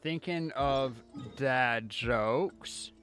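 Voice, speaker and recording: A man's voice: medium pitch, extremely slow, a bit bright. A man livestreaming a video game with casual, wry, grumbling commentary. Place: inside a cold house. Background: game music.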